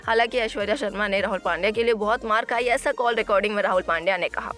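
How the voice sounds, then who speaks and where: A woman presenting entertainment gossip in an informal narration, halting and often repeating or correcting herself. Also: mid-range voice, a bit bright, soft background music. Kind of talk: informal celebrity news narration.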